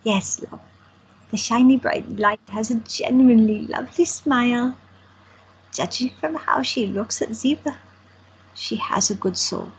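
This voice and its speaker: A woman's voice: fairly high pitch, expressive, unhurried, husky, full character voice, low-quality recording. An actress playing an elderly grandmother in an audio drama, with dry, affectionate teasing. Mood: fond. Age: older. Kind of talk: amateur audio drama acting.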